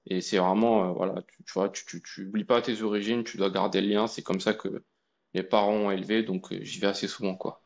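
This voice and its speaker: Relaxed young man, low voice. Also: a little fast, creaky, lo-fi sound. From India. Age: young adult. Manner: relaxed, colloquial, many fillers and restarts. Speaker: guest, AI researcher. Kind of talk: casual conversation.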